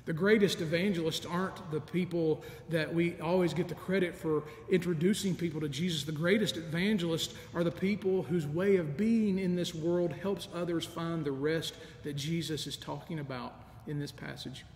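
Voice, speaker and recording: Middle-aged man, deacon preaching, slightly high voice, long reverb of an empty church, faint rumble. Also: fairly even intonation. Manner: earnest, measured preaching with soft laughs. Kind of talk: sermon. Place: large empty church sanctuary. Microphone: stand mic in front of lectern, camera at a distance.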